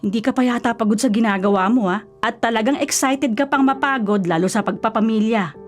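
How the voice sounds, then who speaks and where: A woman's voice: a little high, fairly quick, soft background music, natural voice. A woman acting in a radio drama as a worried, nagging but loving middle-aged mother, scolding and fussy, with a Filipino accent. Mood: scolding, teasing.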